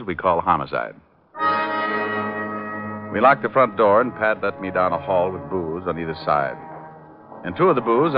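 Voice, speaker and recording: An actor playing a glib, flirtatious private detective who narrates his case with deadpan quips in vintage radio style. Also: low voice. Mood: brisk, calm. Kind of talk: 1940s-50s radio detective acting, hardboiled narration. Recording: muffled old-time radio recording with music.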